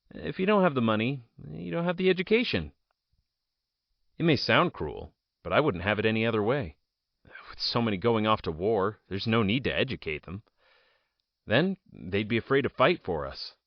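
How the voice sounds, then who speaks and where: A slightly muffled, close and dry audio drama recording of a man acting as a fallen aristocrat politician. His lowish voice moves with lively intonation. He tells his tale proudly and bitterly, weighing his words with theatrical pauses. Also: no echo. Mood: grim, explanatory. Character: ousted nobleman politician, jailed idealist, bitter and proud. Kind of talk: dramatic first-person audio drama monologue.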